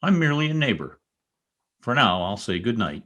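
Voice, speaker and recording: A man's voice: mid-range voice, sing-song, normal speed, fairly bright tone. A man playing a bitter, commanding former stable boy turned rich, sardonic, in old-time radio drama style.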